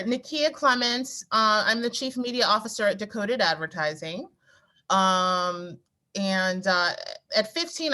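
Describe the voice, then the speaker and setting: A woman panelist speaks in a bright, crisp voice. Her answer is upbeat and light, with a reassuring tone. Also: medium pitch.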